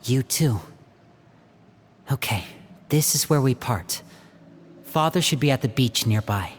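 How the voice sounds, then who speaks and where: An actor playing a young male stage magician who is playful and protective, using a smooth, coaxing showman's delivery. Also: mid-range voice, expressive, a little slow, slight background noise, lightly acted. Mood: planning. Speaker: older brother planning a rescue. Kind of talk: video game dub acting.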